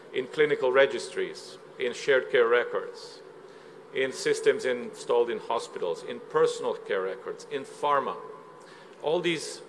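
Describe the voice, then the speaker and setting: A man from Slovenia giving a measured, explanatory conference presentation, with frequent filler sounds. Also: medium pitch, slight background noise, rough recording. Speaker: conference speaker. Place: conference hall.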